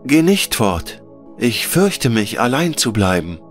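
A man's voice: medium pitch, sing-song, slow, faint music behind, voice pushed up. A man voicing a curious, sensitive little girl who speaks earnestly. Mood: pleading. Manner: earnest, pleading, childlike.

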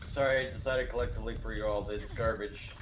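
A man's voice: fairly low pitch, steady tone, fast talker, roomy sound, noisy background. A man in his thirties streaming a game from home on a headset, making dry, wry remarks as he plays. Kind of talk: casual gaming chat.

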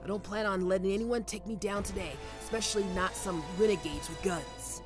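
A man's voice: sits high, fairly even intonation, natural voice. A man playing a ruthless, cocky mob boss with a protective streak in an ASMR roleplay drama, commanding and taunting. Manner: commanding, taunting, slow deliberate delivery. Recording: loud music bed under voice. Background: music.

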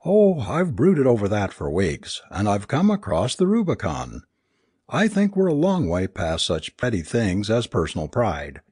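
A man's voice: lowish voice, big pitch swings, measured pace, a little mellow, breathy edge, dry recording, studio quality, exaggerated voice. A man voicing a tense, determined man in a story, speaking with earnest, wordy wryness. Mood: strained resolve. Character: tense, wry, determined man facing humiliation.

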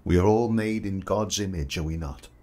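An actor playing a kindly, modest portrait photographer in a period audio drama, polite, reassuring and slightly hesitant. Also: male voice, deep voice, moderate pace, a little mellow. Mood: sombre.

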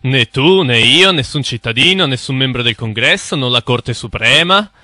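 An actor playing a cynical know-it-all man who lectures his naive friend in a didactic, emphatic, condescending way in an animated explainer. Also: medium pitch, moderate pace, no room sound, studio quality. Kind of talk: animated explainer cartoon dialogue.

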